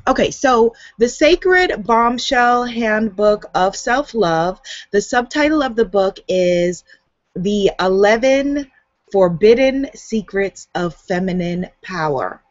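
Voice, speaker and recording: A woman's voice: mid-range voice, expressive, takes it slowly, dry recording. A woman author, born in the US, with a light Guyanese accent, talking casually to camera on a video hangout and laughing often. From United States. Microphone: webcam on a video hangout. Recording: dull, dry home recording.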